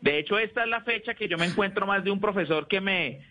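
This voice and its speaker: A man with a Colombian accent telling comic stories in a playful, animated way, acting out bits of dialogue as he goes. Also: sits high, fast, lo-fi sound. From Neiva, Colombia. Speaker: comedian and guest. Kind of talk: comic storytelling, stand-up and banter.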